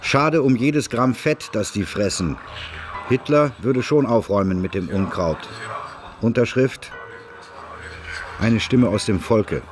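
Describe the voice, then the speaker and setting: An actor playing an indignant, hateful citizen declaiming a complaint letter in a radio play. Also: male voice, mid-range voice, slow pace, pauses often, music in the background, natural voice.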